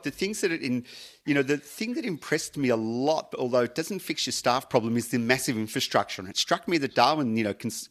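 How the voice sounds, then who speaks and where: Chatty male radio co-host. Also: mid-range voice. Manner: chatty, lively, says "you know" often. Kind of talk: radio chat.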